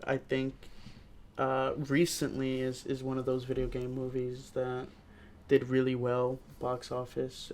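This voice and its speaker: A man talks casually as a podcast co-host reviewing something. He pieces his thoughts together haltingly, with a faint layer of noise behind him. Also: medium pitch, a little mellow.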